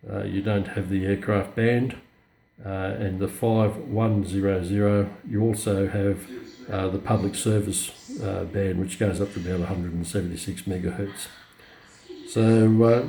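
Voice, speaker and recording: A man giving a casual, unscripted product demonstration as a hobbyist collector, speaking haltingly with very frequent ums and pauses. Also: on the low side, warm tone, low-quality recording. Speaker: radio collector presenting a receiver.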